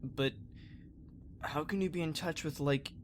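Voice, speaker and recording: A man's voice: on the high side, a little slow, slight background noise, lightly acted. A man playing an earnest, curious young space trainee in a sci-fi fan parody, talking in a casual, hesitant way.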